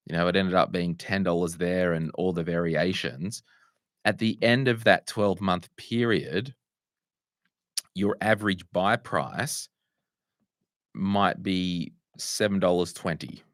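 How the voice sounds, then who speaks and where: A middle-aged Australian man with a croaky voice, hosting a casual Q&A and answering viewers' money questions with asides and restarts. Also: sits low, fairly bright tone, no room sound, studio quality. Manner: conversational, restarts and asides while fixing tech. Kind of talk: casual livestream Q&A.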